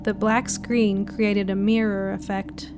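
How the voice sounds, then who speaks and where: A woman's voice: mid-range voice, level tone, takes it slowly, over music. A woman reading a scripted first-person spooky short story as its narrator.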